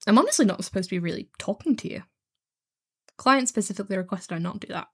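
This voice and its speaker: A woman's voice: medium pitch, expressive, fast, slightly rough. A woman playing a snarky, bored, heartless kidnapper for hire in a scripted audio roleplay, talking in a casual drawl. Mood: wry, nonchalant. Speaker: voice actor. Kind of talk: scripted one-sided audio roleplay drama.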